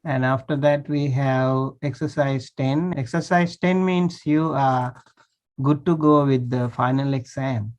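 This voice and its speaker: Slow-spoken monk teaching online. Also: male voice, mid-range voice, darkish tone. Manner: patient, checks understanding often. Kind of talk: online language class. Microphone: video call.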